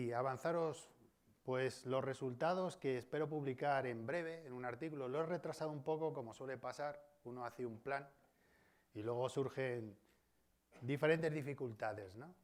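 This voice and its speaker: A man's voice: medium pitch, moderate pace. A man with a Spain Spanish accent giving an academic colloquium talk in a university auditorium, discursive and often adding tag questions. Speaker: guest speaker.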